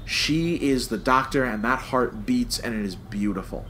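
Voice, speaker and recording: A man's voice: mid-range voice, normal speed, a bit bright, slight background noise, poor audio. A man hosting a casual review podcast, talking emphatically and repeating phrases for stress. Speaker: podcast host.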